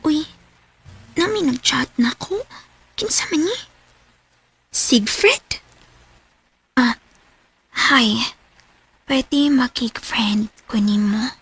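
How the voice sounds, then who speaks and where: A woman's voice: high voice, expressive, very slow, pauses often, fairly bright tone, breathy edge, dry recording, heavily acted. A woman acting a shy, giddy teenage schoolgirl in a radio drama, with a Cebuano accent. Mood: curious, giddy.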